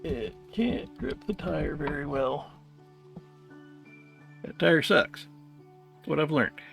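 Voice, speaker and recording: A man's voice: fairly high pitch, animated, takes it slowly, gravelly, a bit bright, soft background music. A man chatting casually and thinking aloud as he tinkers, muttering to himself and to the thing he is repairing. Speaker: hobbyist repairer of vintage tape recorders.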